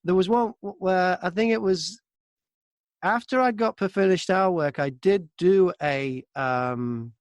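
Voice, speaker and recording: A man's voice: high-pitched, unhurried, no echo. A man who narrates audiobooks, chatting casually as an interview guest and telling anecdotes in a talkative way from inside a wardrobe booth.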